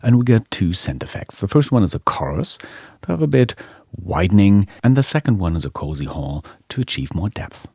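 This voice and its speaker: A man's voice: lowish voice, big pitch swings, brisk, vocal fry, darkish tone, breathy edge, no echo, studio quality. A man giving scripted tutorial narration as an audio engineering instructor.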